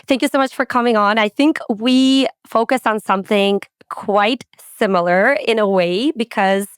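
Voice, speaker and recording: Podcast host woman, smooth clear voice. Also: mid-range voice, moderate pace, no echo, clean studio sound. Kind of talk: podcast interview.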